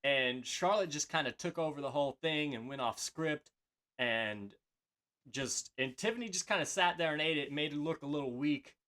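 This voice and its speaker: A man's voice: on the high side, normal speed, pushed and bright. A man co-hosting a casual wrestling podcast, rambling with many fillers and restarts. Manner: rambling, filler-heavy, hesitant. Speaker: podcast co-host.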